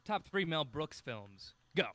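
Audio drama friend: young film fanatic, playful, mock-formal, widely varied intonation. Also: male voice, fairly high pitch, big pitch swings, a little slow, sharp tone, dry recording, exaggerated voice. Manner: bantering, mock-formal, teasing.

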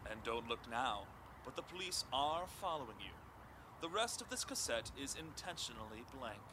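A man's voice: mid-range voice, sing-song, normal speed, background noise, natural voice. A man playing a mysterious, imperious game instructor in an audio drama, speaking in precise, clipped orders. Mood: cool, measured.

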